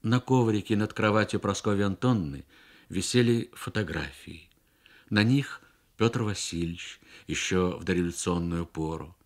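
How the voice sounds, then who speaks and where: A man narrating a literary story for radio with measured, expressive phrasing and deliberate pauses. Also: sits low. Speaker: story reader.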